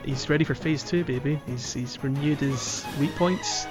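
Male podcast host. Casual, thinks aloud, average pitch, clear music bed underneath. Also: moderate pace. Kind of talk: casual gaming podcast chat. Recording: music playing behind the voice.

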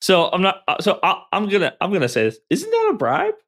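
A man co-hosting a podcast, talking in an excitable, rambling way and laughing often. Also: high voice, fast talker. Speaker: podcast co-host. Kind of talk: casual podcast chat. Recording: loud background noise with clicks.

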